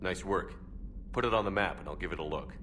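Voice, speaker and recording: Determined military hero, deep voice, terse, level-headed, sci-fi video game dialogue, faint noise. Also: male voice, quick pace, a bit bright. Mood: calm, businesslike. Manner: terse, matter-of-fact. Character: stoic, determined male space-navy commander, level-headed hero.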